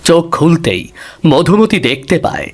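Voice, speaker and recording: A man's voice: on the high side, sing-song, normal speed. A man narrating a scripted fairy tale for a cartoon.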